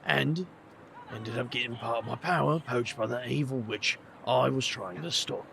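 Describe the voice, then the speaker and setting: An actor playing a weary, sardonic adventurer with a dry manner in an audio drama. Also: male voice, mid-range voice, gravelly, background noise, natural voice. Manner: dry, world-weary, sarcastic. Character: weary, sardonic supernatural adventurer with family baggage.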